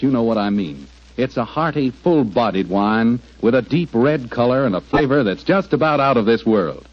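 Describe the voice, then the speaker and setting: An American man announcing as host of a 1940s radio show, with a folksy, polished salesman's delivery. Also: medium pitch. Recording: muffled 1940s radio recording.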